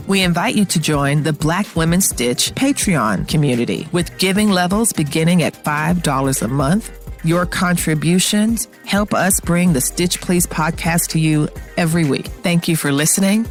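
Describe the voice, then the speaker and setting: A woman announcer reading a scripted podcast sign-off. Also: deep voice.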